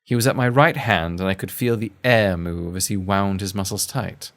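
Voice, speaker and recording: Low-voiced genteel gentleman played by a man, storytelling. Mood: wry, reflective. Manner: formal, old-fashioned, measured phrasing. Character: genteel, wry gentleman recounting his adventure to his sister. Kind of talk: first-person dramatic storytelling.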